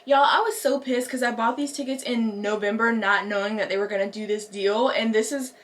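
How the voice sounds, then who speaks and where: A woman's voice: a little high, steady tone, brisk, a bit bright. A young American woman chatting casually and informally to her vlog audience, stretching out words for emphasis. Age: young adult. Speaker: lifestyle vlogger.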